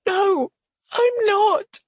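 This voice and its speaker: A woman's voice: very high-pitched, very slow, no echo, clean studio sound, voice pushed up, full character voice. Woman acting a raised-voice, distraught, accusing heroine. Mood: furious sobbing. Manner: pleading, raised, emotional. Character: distraught, accusing woman confronting her lover, furious and tearful.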